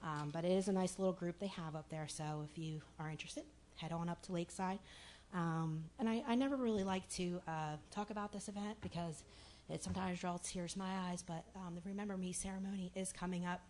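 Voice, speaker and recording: A woman with a raspy voice addressing a city commission meeting in a chatty way, with many fillers and restarts. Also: fairly low pitch, steady tone, fairly quick, darkish tone, slight background noise.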